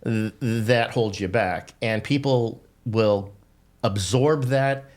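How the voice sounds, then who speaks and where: A man's voice: lowish voice, slow pace. A man in his fifties hosting a podcast in casual chat, talking earnestly with a lecturing tone and some wry teasing, in a dim studio on a desk mic. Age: 50s. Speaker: podcast host.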